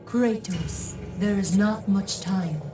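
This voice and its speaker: An actress voicing a solemn, commanding goddess in a video game, grave and authoritative. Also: female voice, medium pitch, takes it slowly, lightly acted. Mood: stern, solemn. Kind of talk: dramatic video game acting. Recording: distant, echoing voice under music.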